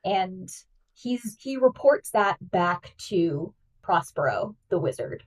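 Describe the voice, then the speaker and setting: A woman guest-hosting a podcast, opinionated and animated, laughing often in casual discussion. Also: lowish voice, slow pace, recorded from a distance, rough recording.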